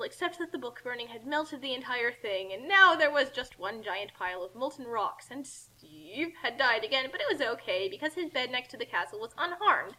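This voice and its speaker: A woman reading a story aloud in a theatrical, animated way and chatting casually about it as commentator. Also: a little high, poor audio. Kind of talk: dramatic fan fiction reading with casual commentary.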